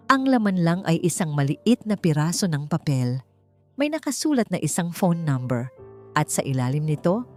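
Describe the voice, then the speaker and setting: A woman narrating a dramatic first-person audiobook story in an emotive, deliberate manner. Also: sits low, darkish tone.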